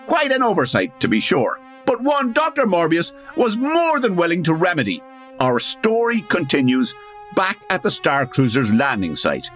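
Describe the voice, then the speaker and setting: A man's voice: a little high, a bit bright. A man narrating a scripted comedy drama in an arch, formal storytelling manner. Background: music.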